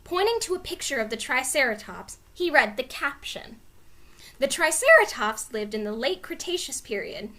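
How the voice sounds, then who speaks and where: Casting: female storybook narrator, very lively melodic delivery, high voice, expressive and dramatized. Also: normal speed. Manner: expressive, clearly dramatized reading. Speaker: storybook reader. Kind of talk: animated children's read-aloud narration.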